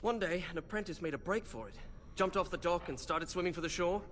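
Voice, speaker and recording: A man's voice: sits high, animated, quick pace, fairly bright tone, slight background noise. An actor playing a bookish young male mage in a video game, grumbling with dry humor about the outdoors. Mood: wry storytelling.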